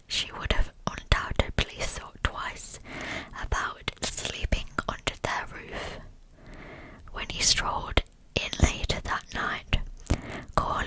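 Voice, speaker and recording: A woman narrating a scripted true crime story as ASMR in a breathy, unvoiced whisper, with a measured storytelling manner. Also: rough recording. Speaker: ASMR channel host.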